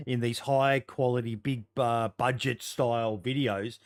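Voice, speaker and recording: A man's voice: mid-range voice, fairly even intonation, a little slow, no echo. A man hosting a casual podcast, asking curious questions of his guest.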